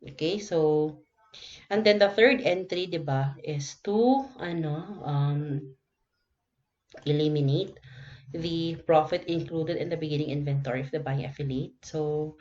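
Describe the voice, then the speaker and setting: A dull, lo-fi recording carries a woman's low voice with a Filipino accent. She lectures step by step through worked problems, adding little check-in tags as she explains. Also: unhurried, a little mellow. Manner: explanatory, often checks with okay and no. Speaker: accounting lecturer.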